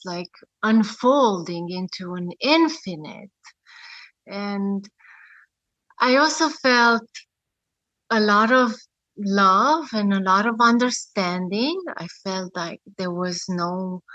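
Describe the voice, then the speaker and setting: Woman, 30s, podcast guest; slow, reflective storytelling with frequent pauses, bright tone. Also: mid-range voice, dry recording, muffled recording. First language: Romanian. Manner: reflective, with many pauses and fillers. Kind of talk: personal storytelling.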